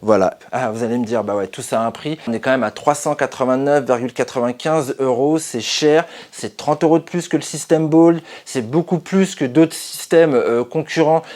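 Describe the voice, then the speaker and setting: A man's voice: medium pitch, moderate pace, few pauses, a little mellow. A man presenting a casual product review as the host of a channel.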